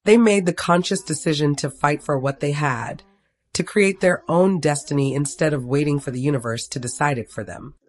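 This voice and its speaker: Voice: mid-range voice, moderate pace. Storyteller narrating a scripted romance tale.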